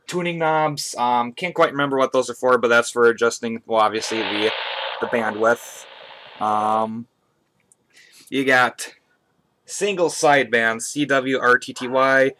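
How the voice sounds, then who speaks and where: A man with a mid-pitched voice talks through a hobby gear review in a casual way. His delivery is halting and conversational, picked up by a webcam in a room at home. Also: sharp tone, clean tone. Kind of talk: casual product review to camera. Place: indoors, small room at home. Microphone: webcam on a tripod, speaker off camera.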